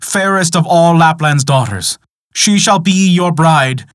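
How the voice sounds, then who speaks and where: A man voicing a desperate young man who is stuck in a swamp, his pleas pitched higher and louder than his narration. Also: unhurried, dry recording, studio quality, close to an everyday voice. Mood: pleading.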